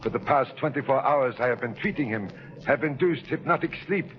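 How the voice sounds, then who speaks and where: An actor playing a grave, courteous doctor with formal wording, in a 1930s radio drama. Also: male voice, medium pitch, a little fast, natural voice. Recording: muffled 1930s radio with crowd-like background.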